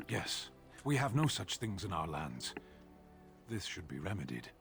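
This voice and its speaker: A man playing a stern, blunt Qunari warrior in a video game, speaking in terse, formal, deadpan, measured phrases. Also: sits low, soft background music, heavily acted. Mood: deadpan, reserved. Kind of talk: video game character acting.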